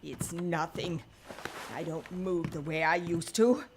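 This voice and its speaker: A woman playing a weary, sardonic old woman in an audio drama, with a deliberate, dry and scornful manner. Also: lowish voice, level tone, slight background noise. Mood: guarded, bitter. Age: older.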